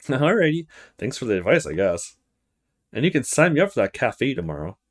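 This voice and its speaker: A man's voice: medium pitch, very animated, moderate pace. A man voicing a friendly, concerned young male student in a visual novel, tentative and polite. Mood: agreeable. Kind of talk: visual novel character read-aloud.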